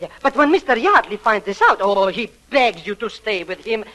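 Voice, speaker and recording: Excitable high-voiced man. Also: normal speed, exaggerated voice. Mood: excited, sly. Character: excitable, affectionate foreign uncle type, comic schemer. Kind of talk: 1940s radio comedy acting. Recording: vintage 1940s radio broadcast.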